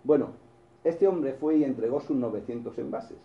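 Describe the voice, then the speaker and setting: A man's voice: mid-range voice, normal speed, creaky, roomy sound, dull audio. A man with a Spanish accent from Spain, preaching a sermon in a storytelling way, with restarts and asides to the congregation.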